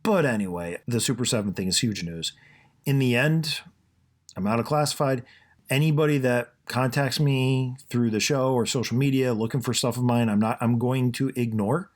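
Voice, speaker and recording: A man's voice: medium pitch, moderate pace. Soothing rambling podcast host, man. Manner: rambling, conversational, frequent fillers and restarts. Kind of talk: casual solo podcast monologue.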